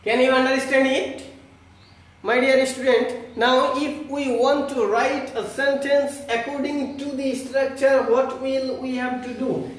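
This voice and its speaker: Very high-pitched man teaching, echoey room. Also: flat delivery. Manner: halting, instructional, with repeated check questions. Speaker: English grammar teacher. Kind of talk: online grammar lesson, explaining to students. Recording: very echoey room, distant mic.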